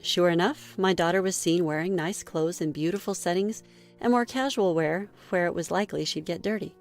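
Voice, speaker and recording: A woman reading a scripted first-person story in a measured read-aloud manner. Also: fairly low pitch, soft background music. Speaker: story narrator.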